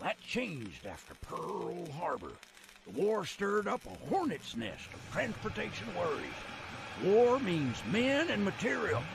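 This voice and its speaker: A folksy old-timer of the American West narrating a game cutscene in a jovial drawl. His pitch sits high for a man and swoops enormously from phrase to phrase. The delivery is theatrical and colloquial, over a clearly noisy recording. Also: heavily acted. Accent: American Southern or Western drawl.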